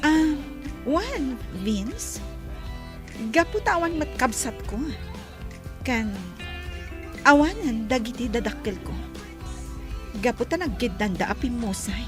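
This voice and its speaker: A woman's voice: fairly high pitch, big pitch swings, slow pace, long pauses. A woman voicing a lonely, gracious rich young heiress in an audio comedy drama, talking politely to her friend and her driver. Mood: wistful. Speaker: wealthy heiress. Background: background music.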